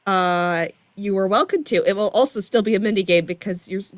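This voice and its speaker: A woman's voice: mid-range voice, fairly quick, dry recording. A woman chatting casually as a game developer on a livestream, explaining things in a flustered manner. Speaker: game developer joining the stream. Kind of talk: casual chat.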